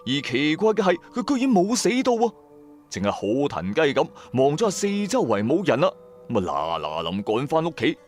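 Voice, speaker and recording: Animated Cantonese male ghost-story narrator. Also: fairly high pitch, moderate pace, faint music behind. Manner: suspenseful, colloquial, rhetorical questions.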